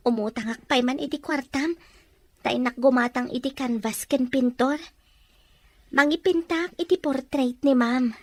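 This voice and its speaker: A woman playing a sweet, humble young mother-to-be, gentle and affectionate, in an Ilocano audio drama. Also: sits high, measured pace, no room sound. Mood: soft, modest.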